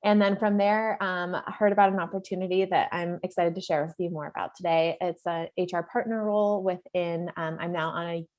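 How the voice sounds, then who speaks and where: A woman with a lowish voice introduces herself on a webinar panel over a video call. She sounds chatty and enthusiastic, moving easily from point to point. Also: fairly even intonation, brisk, hardly any pauses, darkish tone, muffled recording. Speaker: HR partner, panelist.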